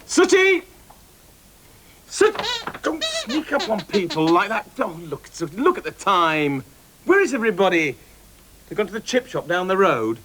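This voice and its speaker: A man playing a flustered, excitable restaurateur in a children's slapstick comedy, exasperated and repeating himself. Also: high voice, normal speed, background noise. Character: flustered, excitable male restaurateur posing as Italian waiter.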